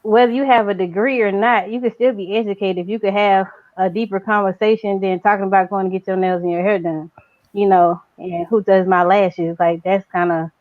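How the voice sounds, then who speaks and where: A woman in casual conversation who talks in long, rambling stretches full of fillers and pushes her naturally quiet voice louder. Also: medium pitch, steady tone, fairly quick, no room sound, phone-quality sound. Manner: says her natural voice is very quiet but trains herself to speak louder. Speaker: guest. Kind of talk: casual chat.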